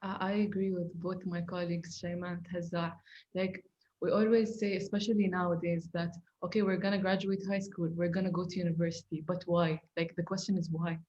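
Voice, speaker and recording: A young woman from the Emirates speaks earnestly in a low, level voice. The sound is dull and slightly lo-fi, with faint noise behind. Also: level tone, a little mellow. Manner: earnest, quick. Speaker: student panelist. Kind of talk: casual panel discussion.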